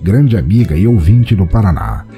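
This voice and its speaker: Brazilian male podcast host, very low mellow voice, theatrical and playful delivery over music. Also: expressive, moderate pace. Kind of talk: scripted podcast narration and listener feedback.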